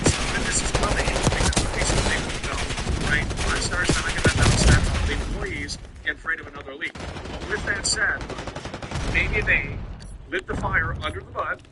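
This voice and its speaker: A man's voice: sits high, very noisy. A man livestreaming an emphatic, repetitive, combative rant to his viewers about video game news. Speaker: live stream host. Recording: loud gunfire and clicks behind voice.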